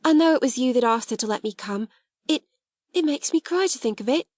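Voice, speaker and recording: A woman's voice: high-pitched, expressive, fairly quick, darkish tone, no echo, clean studio sound, voice pushed up. Woman putting on a timid, deferential servant girl. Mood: tearful gratitude. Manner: deferential, gasping. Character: humble, timid young servant girl, awed and grateful.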